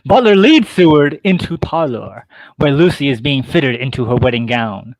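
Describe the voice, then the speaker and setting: A man's voice: mid-range voice, animated, normal speed, no room sound, low-quality recording. A man reading stage directions and narrating an amateur radio play on a podcast. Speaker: host and narrator of a table read. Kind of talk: casual scripted narration.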